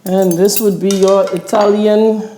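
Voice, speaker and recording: A woman chef from the Bahamas, relaxed and explaining as she cooks in a studio kitchen. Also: medium pitch, measured pace, reverberant, a little hiss or hum. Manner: relaxed, explains while working, frequent mm-hmms. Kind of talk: cooking demonstration.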